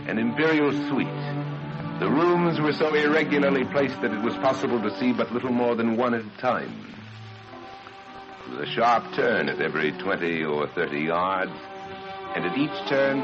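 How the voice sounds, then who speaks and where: A deep male storyteller delivers gothic horror in a deliberate, ominous manner. His theatrical phrasing belongs to old-time radio drama. The recording is dull and vintage, with music playing loudly underneath. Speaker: narrator of a horror radio play. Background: loud dramatic music.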